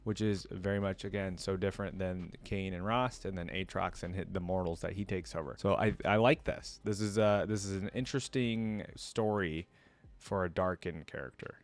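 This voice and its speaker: A man's voice: low-pitched, fairly even intonation, normal speed. A man hosting a reaction video, commenting casually and musingly with lots of conversational filler words. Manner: musing, casual, often says "like" and "you know". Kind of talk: casual commentary, reading a story aloud.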